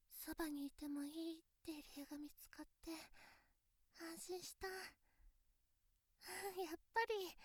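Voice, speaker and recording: A woman voicing a shy, devoted teenage schoolgirl girlfriend in an intimate bedside voice drama, with a gentle, hesitant manner. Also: extremely high pitch, no echo, clean studio sound. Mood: shy, earnest. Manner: gentle, hesitant, stammering when embarrassed, drawn-out delivery. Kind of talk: Japanese situation voice drama, intimate bedside roleplay for relaxation.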